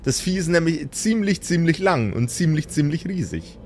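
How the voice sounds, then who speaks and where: A man with a slightly high voice runs a tabletop role-playing game as its narrator. He describes scenes in detail, leaning on repetition for emphasis, while soft music plays underneath. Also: expressive, normal speed. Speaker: game master.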